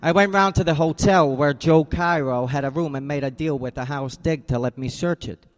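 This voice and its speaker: A man's voice: fairly high pitch, normal speed, lightly acted. A man plays a tough private eye with a slightly raised voice and clipped, sardonic delivery. He narrates in hard-boiled fashion, with faint music running under the radio drama. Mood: narrating.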